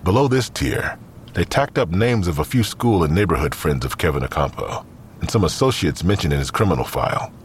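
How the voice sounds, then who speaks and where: A man's voice: low-pitched, animated, a little fast, slight background noise. A man reading scripted third-person narration for an audio drama.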